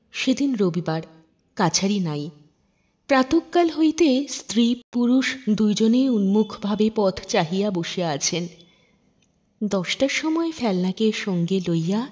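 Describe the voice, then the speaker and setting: A woman storyteller narrating literary fiction in an expressive, measured, dramatic way. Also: on the high side.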